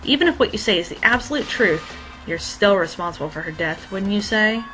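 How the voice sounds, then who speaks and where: An arrogant, cold rich teenage boy, read aloud by a woman who needles others with smug accusations. The delivery is bright and a little hoarse. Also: medium pitch, expressive, fairly quick, soft background music, low-quality recording.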